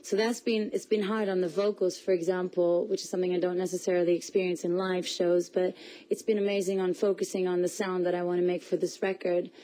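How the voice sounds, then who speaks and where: Mellow young woman, quick and chatty. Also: on the low side, flat delivery, low-quality recording. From Portugal. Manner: chatty, quick, many restarts and laughs. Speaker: singer guest. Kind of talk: radio interview answers. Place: radio studio.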